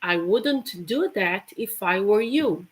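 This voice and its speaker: A woman's voice: medium pitch, lively intonation, slow pace. Chatty woman teaching English. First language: Portuguese. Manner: chatty, repeats example phrases with laughs. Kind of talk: live online English lesson.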